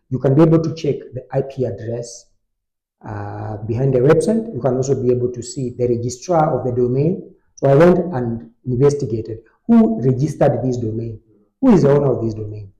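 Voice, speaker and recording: A man on a podcast, explaining an online scam step by step in a relaxed conversational way, with frequent fillers. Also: medium pitch, echoey room, low-quality recording. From Kenya. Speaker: technology expert on a podcast. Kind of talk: conversational storytelling.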